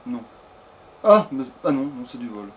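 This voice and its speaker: A man's voice: medium pitch, very animated, normal speed, a little hiss or hum. A man giving casual, rambling let's play commentary peppered with swearing. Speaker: let's player.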